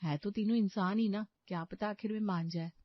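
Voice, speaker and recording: Young, crafty daughter-in-law, voiced by a woman, persuasive cartoon delivery, mid-pitched, close dry sound. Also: fairly even intonation, a little fast, no room sound, muffled recording, natural voice. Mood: persuading. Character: determined, coaxing young Indian daughter-in-law. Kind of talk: cartoon moral story acting.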